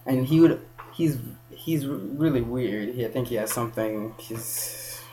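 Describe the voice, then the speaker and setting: A man's voice: mid-range voice, a little slow, dark tone, slight background noise. A young man from Kenya in casual chat, rambling with many restarts and self-corrections.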